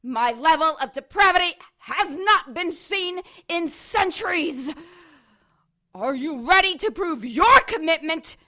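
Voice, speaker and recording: A sharp, bright female voice for a depraved serpent goddess. She speaks slowly and grandly, full of imperious menace, as a power-hungry villain in an audio drama. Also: very high voice, animated, full character voice.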